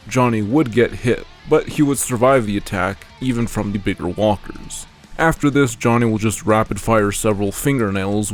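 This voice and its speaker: A man reading a scripted explainer narration as a video narrator. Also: lowish voice.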